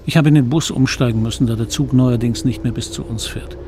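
A man playing a middle-aged narrator who wistfully recalls his childhood, measured and sombre, in a radio drama. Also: mid-range voice, steady tone, mellow, slight background noise, close to an everyday voice. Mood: reflective. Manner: measured, reflective, sombre storytelling.

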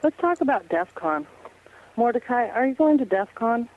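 A woman with a high-pitched voice chats casually as a show co-host. She is blunt and teasing in how she talks. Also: expressive, moderate pace, narrow, tinny audio. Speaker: co-host of a prank phone call show. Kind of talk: casual chat.

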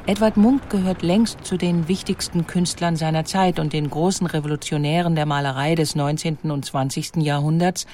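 A woman reading a documentary narration in a clear, composed way. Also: low voice, fairly even intonation, normal speed, hardly any pauses, dark tone. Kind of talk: scripted narration.

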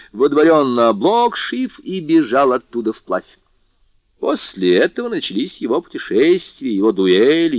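A man's voice: medium pitch, no echo, lo-fi sound. A man reading literary fiction aloud as a scripted audiobook narration.

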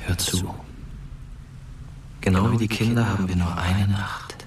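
Low whispering teenage ghost. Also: male voice, steady tone, unhurried, far from the mic, a little hiss or hum. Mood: conspiratorial, luring. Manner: breathy whispering, taunting, intimate. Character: dead teenage boy, taunting ghost haunting a survivor. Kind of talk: whispered radio play narration in German.

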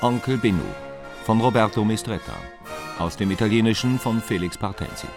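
A man reading closing broadcast credits in a formal, measured, list-like announcer style. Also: lowish voice. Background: brass music.

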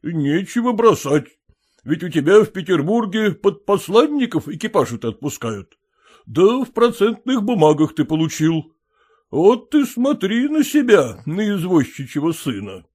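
A man's voice: high voice, sing-song, a little slow, a little mellow, pitched above the performer's own voice, full character voice. A man voicing a smug, pompous older man who lectures from his armchair. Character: older man, haughty hanger-on, lounging.

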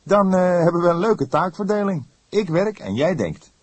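A man's voice: high voice, very animated, moderate pace, slightly rough, no room sound, voice pushed up, full character voice. A man voicing a young male detective in an audiobook, teasing and mildly sarcastic.

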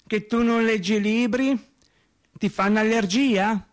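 A man acting out an exasperated working-class wife in a radio play. The voice is pitched high, bossy and challenging, pressing each point like a bookish scold who will not be contradicted. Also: fairly even intonation, measured pace. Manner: challenging, insistent rhetorical questions. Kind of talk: quoted dialogue in a radio theatre monologue.

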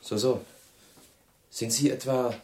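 A man's voice: mid-range voice, steady tone, slow, a little mellow, close to an everyday voice. A man playing a sober, reassuring newlywed husband in a radio play, terse and matter-of-fact. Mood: puzzled. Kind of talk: German radio play acting.

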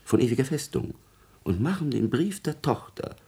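An actor playing a servile, scheming court secretary in a classical radio drama, insinuating and calculating in a formal, obsequious manner. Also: male voice, mid-range voice, lively intonation, normal speed, dark tone, husky. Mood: coldly calculating.

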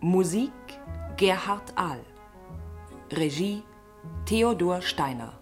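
Slow-paced woman announcer over music. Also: lowish voice, animated. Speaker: announcer of cast and credits. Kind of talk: scripted radio credits announcement. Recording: music behind the voice.

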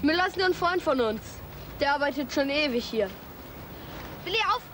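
A woman's voice: high voice, animated, normal speed, slight background noise, low-quality recording. An actress playing a bold, bossy young girl in a German children's radio play, brash and insistent. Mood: matter-of-fact. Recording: loud music bed under the voice.

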